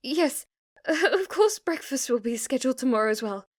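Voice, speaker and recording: A woman's voice: high-pitched, lively intonation, moderate pace, no echo, studio quality. A woman playing an obsessively devoted, submissive maid in an ASMR roleplay, speaking formally, reverently and flirtatiously to her master. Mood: apologetic, eager servant.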